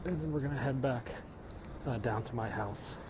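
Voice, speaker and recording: A middle-aged man with a Midwestern American accent talks to his viewers as he walks a hillside trail. He sounds like a chatty tour guide pointing out the sights. His phone picks up loud cicadas and insects buzzing around him. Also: medium pitch, normal speed, heavy background noise. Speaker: live-streaming vlogger. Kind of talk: casual walking livestream chat. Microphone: phone held while walking.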